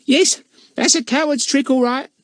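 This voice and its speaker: A man's voice: high-pitched, very animated, moderate pace, no room sound, pitched above the performer's own voice. A man putting on a cheerful gossiping charwoman. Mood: chatty gossip. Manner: chatty, folksy, dropped grammar.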